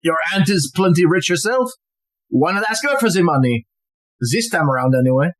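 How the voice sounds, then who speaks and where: An actor playing a cunning, smug blackmailer in an audio play, insinuating and coolly threatening. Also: male voice, high-pitched, animated, fairly quick, a bit bright. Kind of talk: stage-like audio drama acting.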